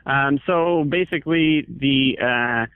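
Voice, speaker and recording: Phone-line sound, man, store employee, average pitch, patient, hesitant, explanatory customer service. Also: takes it slowly, clear, resonant tone, dry recording. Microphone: telephone. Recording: tinny, narrow phone line.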